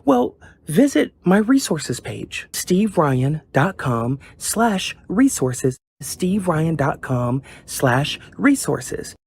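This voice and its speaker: Podcast host guy, husky voice, swoops up and down a lot, says like constantly. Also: on the high side, very animated, extremely slow, hardly any pauses. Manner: casual, lots of "like" fillers. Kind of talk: podcast hosting and interviewing.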